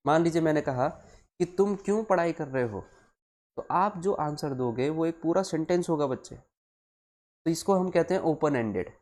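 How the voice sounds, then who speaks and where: A man teaching a live online class, explaining deliberately, repeating key phrases and switching between Hindi and English as he addresses his students. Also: on the high side, animated, darkish tone.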